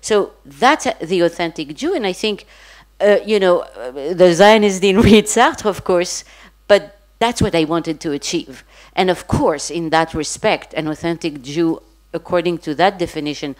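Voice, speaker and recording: A woman with a fairly low voice speaks from the audience at an academic panel. She works through her point thoughtfully, restarting and circling back with plenty of fillers. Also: normal speed. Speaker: audience member asking a question. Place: conference hall.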